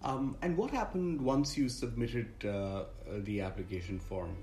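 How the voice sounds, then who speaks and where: A man's voice: medium pitch, normal speed, darkish tone. A male interviewer asks brief follow-up questions, his voice sounding distant and roomy. A faint background noise sits under him. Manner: brief prompts and backchannels.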